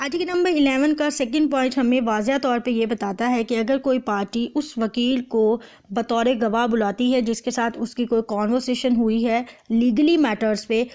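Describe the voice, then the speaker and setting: A woman with a Pakistani accent giving an explanatory lecture as a teacher, mixing in English terms and reading clauses slowly and deliberately. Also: on the high side, a little mellow. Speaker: law lecturer. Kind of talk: explanatory lecture with phrase-by-phrase translation.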